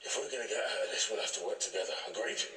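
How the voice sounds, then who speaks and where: An actor playing an urgent, forceful man held hostage in a television period drama. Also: fairly low pitch, rapid-fire, close to an everyday voice. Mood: urgent protest. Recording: echoey, distant TV audio, very loud music.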